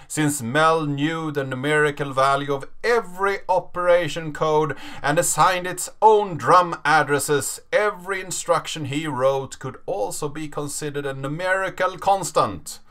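A man in his thirties giving a dramatic reading of a written story with deliberate, emphatic phrasing. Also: fairly high pitch, fairly bright tone. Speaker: narrator reading a story aloud.

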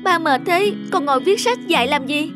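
Young daughter character, high voice, reproachful and fired up, cartoon drama. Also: female voice, animated, a little fast, music in the background. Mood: worried reproach. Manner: reproachful, emphatic. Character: indignant, protective young daughter.

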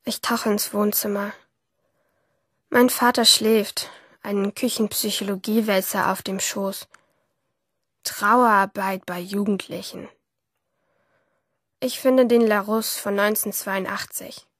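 A woman's voice: mid-range voice, fairly even intonation, slow pace, long pauses, fairly bright tone, husky, no room sound, clean studio sound. A woman playing a lonely, curious teenage girl who narrates a radio drama as an intimate inner monologue. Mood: tipsy, confiding. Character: lonely, curious teenage girl watching life through screens.

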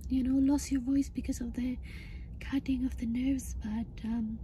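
Woman, thirties, hoarse and breathy, very dark muted tone, flat intonation, upset, halting, casual vlog in a car. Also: high voice. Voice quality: hoarse, breathy, often breaking into whisper. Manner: halting, hesitant. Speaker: vlogger. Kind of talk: personal health update vlog, casual talk to camera. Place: inside a parked car. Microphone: phone at arm's length. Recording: faint background noise in car.

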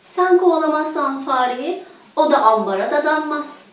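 A woman's voice: sits high, animated, normal speed, pitched above the performer's own voice. Woman putting on an eager, pleading little flea. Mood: urgent pleading. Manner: pleading, repetitive, insistent. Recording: echoey room, distant microphone.

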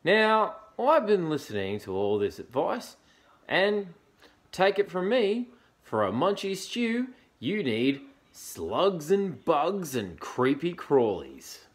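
A bristly, bossy echidna from a storybook, played by a man. The voice is pushed up high and insists emphatically on its advice. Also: very animated, takes it slowly. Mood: bristling, insistent. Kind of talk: children's storybook character voice.

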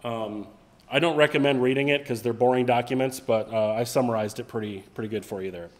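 A man's voice: mid-range voice, fairly quick, in a large hall. Blunt, joking man on a conference stage. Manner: blunt, salty, joking with swearing. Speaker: conference speaker. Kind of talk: casual conference talk. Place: large conference room with stage.

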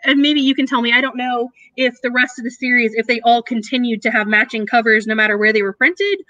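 A woman's voice: on the high side, steady tone, fast talker, sharp tone, dry recording. An American woman in her thirties chatting casually and giggly as she hosts an author event over a video call. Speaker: host, bookseller. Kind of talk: casual chat introducing an author reading. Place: small home office with shelves. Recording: dull, lo-fi video call audio.

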